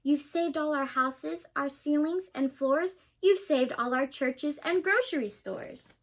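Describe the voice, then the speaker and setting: A woman's voice: high voice, slow pace. A young woman voicing a tiny, grateful male mayor who speaks in a piping voice. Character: tiny cheerful male mayor of a speck town.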